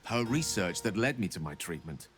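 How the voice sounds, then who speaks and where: An actor playing a wistful, scholarly and self-deprecating wizard in a video game, speaking in florid, theatrical phrases. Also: male voice, lowish voice, sing-song, moderate pace, soft background music. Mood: confessional, rueful. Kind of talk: video game character dialogue.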